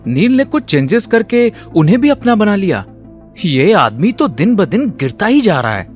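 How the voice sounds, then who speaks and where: An actor playing a young man, a meek son with quiet indignation, in an audio drama. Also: high-pitched, big pitch swings, moderate pace, faint music behind. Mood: shocked, indignant.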